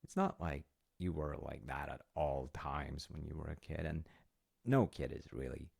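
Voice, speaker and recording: A man playing a gentle, loving, wise dad in an intimate audio roleplay, speaking tenderly and hesitantly in short phrases with a gravelly, breathy voice. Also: a bass voice, normal speed, no echo, clean studio sound, heavily acted. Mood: warm, tender. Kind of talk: intimate audio roleplay, improvised-sounding storytelling.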